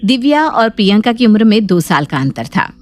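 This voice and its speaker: A woman narrates a family tale in a scripted storytelling style, at a middle pitch. Music plays behind her voice. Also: sing-song, moderate pace.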